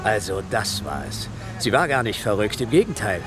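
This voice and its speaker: An actor playing a brisk police inspector in a German radio drama, curt and inquisitive. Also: male voice, lowish voice, sing-song, music in the background. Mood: musing, curt.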